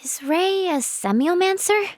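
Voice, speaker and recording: A woman's voice: very high voice, lively intonation, measured pace, no echo, studio quality. A woman playing a sweet, timid, earnest teenage girl healer in a video game, with a light, girlish voice and a polite, hesitant way of talking. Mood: curious, polite. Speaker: voice actress. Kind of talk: video game character voice lines.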